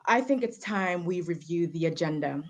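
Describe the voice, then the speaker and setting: A woman's voice: fairly low pitch, expressive, normal speed, close to an everyday voice. An actress playing a formal, commanding woman running a meeting in a streamed stage comedy. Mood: stern. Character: formal, businesslike woman in charge of an infernal meeting. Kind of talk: stage play acting over video stream.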